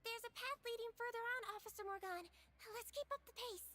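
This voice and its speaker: A woman voicing a small, inquisitive and gentle female investigator in a video game, musing aloud. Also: extremely high pitch, a little fast, noisy background. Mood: concerned, musing.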